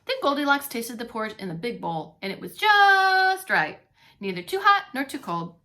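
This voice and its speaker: A woman's voice: a little high, sing-song, moderate pace, sharp tone. A woman reading a story aloud to young children as a preschool teacher, expressively and with playful asides. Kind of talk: expressive children's read-along narration.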